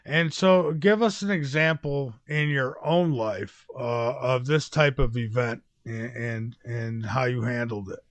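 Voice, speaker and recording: A man's voice: medium pitch, steady tone, measured pace, hardly any pauses, no echo. A man hosting a radio interview in a folksy, chatty way, with fillers. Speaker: radio show host.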